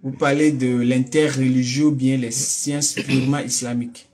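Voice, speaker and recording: Guy w west african french accent, casual call host, kinda hesitant, repeats himself, medium pitch. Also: flat delivery, moderate pace, a little mellow. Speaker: host of a religious phone-in. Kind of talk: casual phone conversation.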